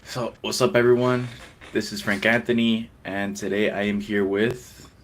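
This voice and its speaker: A man's voice: on the low side, flat delivery, moderate pace, a little hiss or hum. A man hosting a casual interview, asking questions and giving short, easygoing affirmations. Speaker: podcast host.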